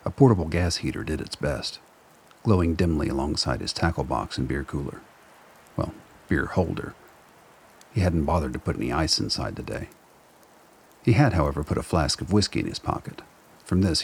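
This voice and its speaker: A man's voice: very deep voice, expressive, moderate pace, gravelly, husky. A man narrating a scripted radio drama as a storyteller.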